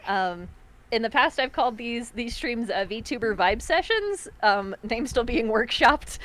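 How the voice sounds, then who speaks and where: A young woman hosting a streamed collab in casual chat, loud and bubbly, laughing often and poking fun at herself. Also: on the high side, animated, slow. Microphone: streaming mic over voice chat.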